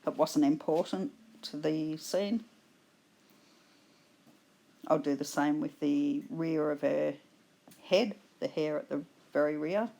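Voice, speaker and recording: A woman with an Australian accent, hoarse from a cold, patiently explaining her painting steps in a tutorial voice-over. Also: deep voice, mellow. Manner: slow, patient explaining with fillers. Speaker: art instructor.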